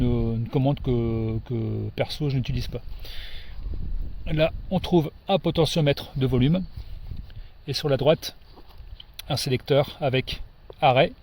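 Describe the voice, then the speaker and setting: A man giving an informal hands-on equipment demonstration as an amateur radio operator, explaining things hesitantly and pausing to find his words. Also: mid-range voice, a little mellow, slight background noise.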